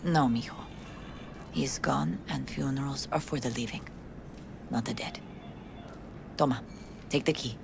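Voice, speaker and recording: A middle-aged Mexican mother in a cinematic game scene, gravelly and composed in her grief. She speaks with gentle, maternal dignity and a Mexican accent, over clearly audible background noise. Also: extremely low pitch, sing-song, unhurried, pauses often, full character voice. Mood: resigned, kind. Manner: gentle, maternal, slips into Spanish endearments. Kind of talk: cinematic video game acting.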